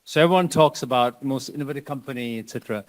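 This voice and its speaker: A man's voice: medium pitch, quick pace, poor audio. A man who grew up in Malaysia, moderating a panel discussion with conversational, probing questions.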